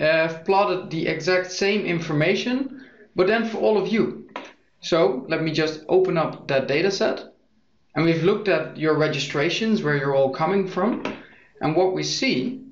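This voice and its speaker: A young man with a Dutch accent, presenting a software webinar in an explanatory way with frequent fillers. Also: a little high. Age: young adult. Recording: echoey muffled lo-fi audio.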